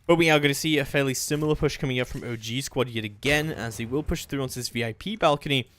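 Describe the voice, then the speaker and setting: A man's voice: medium pitch, quick pace, a bit bright. A man doing live play-by-play commentary on a competitive video game match.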